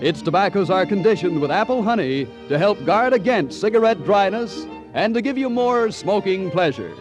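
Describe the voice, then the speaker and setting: High-pitched American vintage radio announcer, man. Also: lively intonation, normal speed, music in the background. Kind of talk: 1940s radio announcing, sponsor message.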